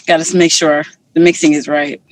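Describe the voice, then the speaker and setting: A woman's voice: low-pitched, little pitch movement, a little fast, no room sound, poor audio. A young woman from Guyana, guest musician in a casual interview, talking candidly with lots of fillers.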